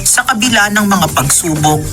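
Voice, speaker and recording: A man's voice: high-pitched, animated, moderate pace. A man narrating a radio drama as a solemn, hopeful storyteller of a village tale. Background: music.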